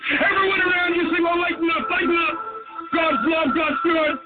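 A man preaches fervently in a very high-pitched, emphatic voice, repeating phrases to drive them home. The audio is thin and phone-like, with music playing clearly behind him. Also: steady tone, normal speed. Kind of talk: fervent sermon.

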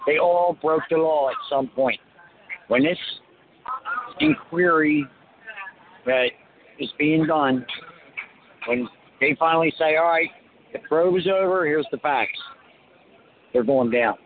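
A man's voice: fairly high pitch, slow pace, long pauses. A man from France, a protester being interviewed outdoors, speaking bluntly and crudely. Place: outdoors on a city street. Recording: tinny phone line with street noise.

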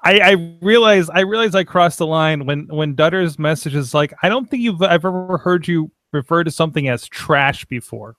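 A man co-hosting a podcast in casual chat, halting and repeating phrases for emphasis. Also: a little high, lively intonation, a bit bright, no echo, studio quality. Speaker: podcast co-host.

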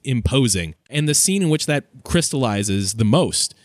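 A man's voice: mid-range voice, lively intonation, normal speed, dry recording. A man in casual fan discussion as a podcast host.

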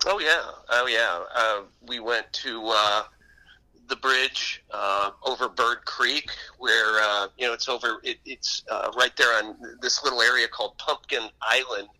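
Bright, forceful man telling stories. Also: medium pitch, a little slow, low-quality recording. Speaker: author being interviewed. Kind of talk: conversational radio interview, anecdotal storytelling.

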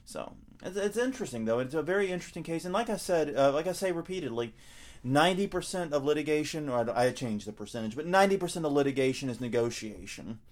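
A man's voice: fairly high pitch, lively intonation, fast. A man co-hosting a casual podcast, talking things over with frequent fillers and self-corrections. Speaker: podcast co-host.

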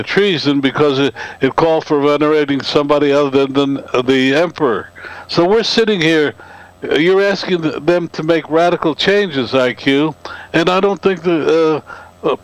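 A man co-hosting a political talk radio show, speaking haltingly with many pauses and fillers. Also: fairly high pitch, smooth, no room sound, poor audio.

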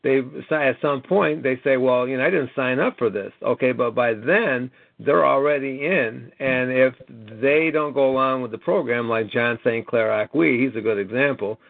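A man's voice: medium pitch, normal speed, creaky, hardly any pauses, dry recording. An American man in his late sixties talking on a conference call in a rambling, earnest way, often punctuating points with an emphatic "okay?". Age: late 60s. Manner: emphatic, repeatedly checking with "okay?". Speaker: caller. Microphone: telephone. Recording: narrow, tinny phone line.

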